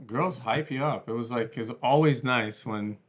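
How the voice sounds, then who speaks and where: A man's voice: mid-range voice, moderate pace. A man co-hosting a podcast, talking in an informal, slangy, conversational way. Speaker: podcast co-host. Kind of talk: casual conversational podcast talk.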